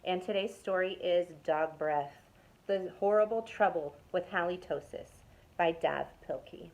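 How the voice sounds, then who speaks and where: A young woman telling a children's story from a youth club. Her reading is expressive and storybook-paced. At suspenseful moments she sinks into a hushed whisper. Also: fairly low pitch, measured pace, rough recording. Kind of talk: reading a picture book aloud to children.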